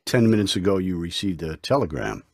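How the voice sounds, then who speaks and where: A man playing a calm, mysterious gray-haired doctor in an old-time radio drama, speaking in a measured, solemn way. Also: deep voice, gravelly, no echo, studio quality, heavily acted.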